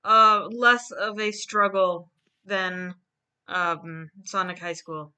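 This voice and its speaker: A woman's voice: mid-range voice, fairly even intonation, takes it slowly, sharp tone, clean tone. A woman chatting casually as she hosts and retells a silly story, playfully acting out the quoted lines. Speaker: host of a live online dramatic reading and drawing stream.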